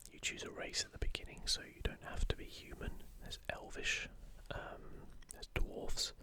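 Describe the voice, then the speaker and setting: An ASMR host whispering a commentary in a halting, musing, unscripted way.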